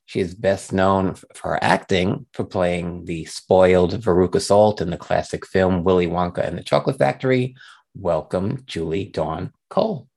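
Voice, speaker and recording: Casting: male interview show host, deep register with noticeable fry, presenting and questioning. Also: normal speed, darkish tone.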